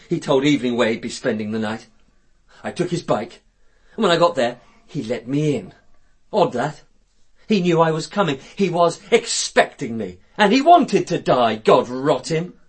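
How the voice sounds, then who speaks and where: A sneering, husky British killer. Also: male voice, a little high, heavily acted. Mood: anguished rage. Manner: sneering, taunting. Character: contemptuous, bitter male murderer holding hostages at gunpoint. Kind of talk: radio drama acting.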